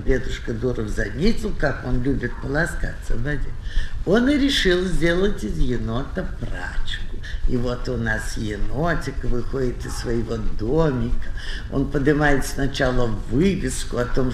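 A man giving an educational talk to children as an animal theatre guide, affectionate and fond of diminutives, on an old record. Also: medium pitch. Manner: affectionate, uses pet names and diminutives. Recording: echoey, noisy old flexi-disc recording. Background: animal sounds.

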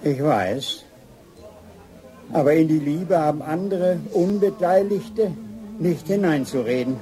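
An older actor playing a gentle, thoughtful father in a German radio play, speaking in a measured way. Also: a little high, low-quality recording. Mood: earnest. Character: gentle, reflective old father, loyal to daughter.

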